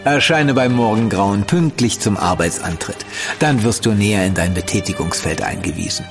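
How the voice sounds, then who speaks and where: An actor playing a genial, shrewd senior merchant banker in an audio drama, measured and patronising in formal diction. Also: male voice, lowish voice, expressive, over music. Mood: patronising, firm. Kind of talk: German audio drama acting.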